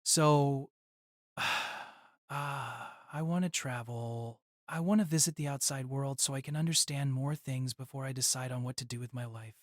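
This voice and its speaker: A man's voice: mid-range voice, measured pace, no room sound, studio quality, close to an everyday voice. A man voicing an earnest, polite and hesitant young student in an audio drama. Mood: subdued, wistful. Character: earnest, hesitant teenage student speaking to his grandparents.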